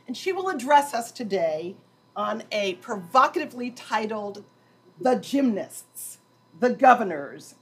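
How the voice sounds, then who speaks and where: A woman's voice: on the high side, slight background noise. A woman dean giving a formal, emphatic welcome to a lecture audience from a podium, with dry asides. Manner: emphatic, warm ceremonial delivery with dry asides.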